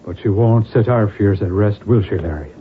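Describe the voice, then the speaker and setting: An actor playing a fearful, fatalistic gentleman and father in a theatrical 1970s radio drama. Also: lowish voice, normal speed, phone-quality sound, noisy background, close to an everyday voice. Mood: grave, resigned. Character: doomed, fearful Irish gentleman father, last of his line. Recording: band-limited old radio recording.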